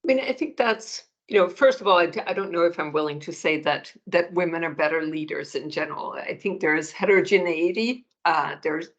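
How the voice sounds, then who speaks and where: A middle-aged woman from Denmark, a professor giving measured answers in an interview, often restarting phrases as she thinks. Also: fairly low pitch, dull audio. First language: Danish. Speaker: economics professor, guest.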